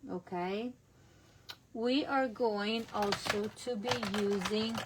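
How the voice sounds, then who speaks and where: A woman's voice: medium pitch, background noise. A middle-aged woman from Italy with an Italian accent, chatting casually through a stamping and card-making class as she works. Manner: chatty, narrates each step, self-correcting. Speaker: crafting demonstrator. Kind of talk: casual online craft class.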